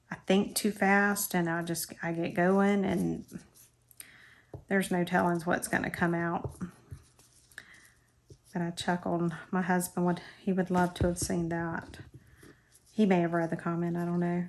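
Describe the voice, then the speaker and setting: A woman's voice: fairly low pitch, level tone, a little slow, pauses often. A middle-aged woman from Florida with a South Georgia drawl, chatting and rambling at her craft table. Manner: rambling, self-deprecating, talks while crafting. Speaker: crafting channel host. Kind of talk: casual crafting chat.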